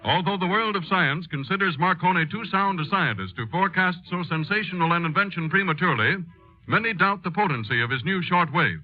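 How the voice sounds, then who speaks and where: A man's voice: high-pitched, lively intonation. A man playing a grave, declamatory newsreel narrator in a 1930s radio news dramatization, stressing words with solemn weight. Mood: grave, dramatic. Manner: portentous, declamatory, drawn-out emphasis. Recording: muffled old 1930s radio recording, music.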